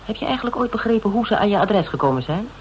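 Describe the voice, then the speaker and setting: A woman's voice: mid-range voice, animated, rapid-fire, lightly acted. An actress playing a shrewd, mocking female interrogator in a radio drama, with a needling, conversational manner. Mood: probing. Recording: noisy radio drama recording.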